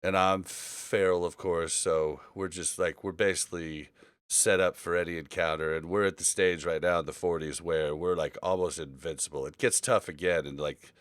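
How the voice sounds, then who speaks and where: Low-voiced man chatting casually, close and dry. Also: fairly even intonation, normal speed, clean tone, no room sound. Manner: rambling, informal, swearing. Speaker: podcast host. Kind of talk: casual gaming podcast chat.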